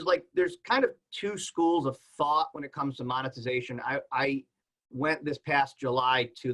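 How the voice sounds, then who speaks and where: A man's voice: medium pitch, sharp tone, poor audio. A man on a panel explaining things in a casual, conversational, plain-spoken way. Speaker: podcast panelist.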